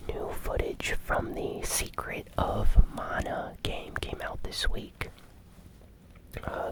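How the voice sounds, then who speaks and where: A host whispering an ASMR gaming news recap in a breathy, unhurried, hushed and gently enthusiastic way. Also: rough recording.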